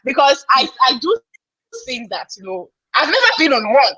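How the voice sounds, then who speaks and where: A woman's voice: high-pitched, very animated, moderate pace, bright, forceful tone, slightly rough, dry recording, muffled recording. A Nigerian woman hosting a casual live podcast, chatty, reading out viewer comments and exclaiming. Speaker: podcast host.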